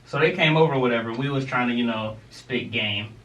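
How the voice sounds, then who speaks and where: A young man co-hosting a podcast, telling a childhood story in an animated, slangy, laughing way. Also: medium pitch, fairly quick. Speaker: podcast co-host. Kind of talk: casual storytelling. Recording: roomy, distant mic with faint noise.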